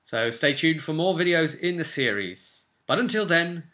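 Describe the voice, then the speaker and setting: A man's voice: a little high, expressive, normal speed, sharp tone. A man in his twenties with an English accent, chatting casually to viewers in a tutorial with wry asides. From England. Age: 20s. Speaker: host of tabletop roleplay channel. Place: small home room, indoors.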